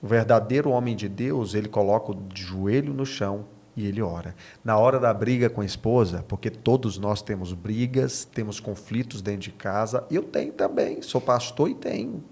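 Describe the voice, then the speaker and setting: A Brazilian man with a fairly low voice talks like a pastor in an interview. He explains in a conversational but preacherly way, repeating key phrases for emphasis. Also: normal speed, creaky, few pauses, darkish tone. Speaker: pastor, podcast guest.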